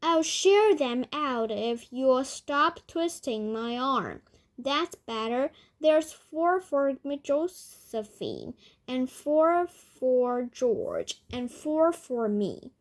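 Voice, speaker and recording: A woman's voice: high voice, sing-song, extremely slow, full character voice. A woman voicing a bossy, stingy old grandmother in a storybook, complaining and protesting. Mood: grudging. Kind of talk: children's storybook read aloud.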